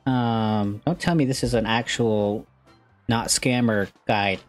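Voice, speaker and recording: A man's voice: lowish voice, steady tone, slow. A young man giving casual, playful, sarcastic commentary while playing a video game. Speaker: gaming streamer. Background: game music.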